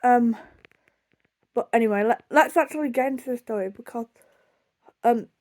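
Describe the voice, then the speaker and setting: A young British woman with a fairly high voice talks casually to her viewers. She rambles in a chatty, self-deprecating way, often sidetracking herself as she tells her story. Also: moderate pace, a little mellow. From United Kingdom. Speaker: video blogger.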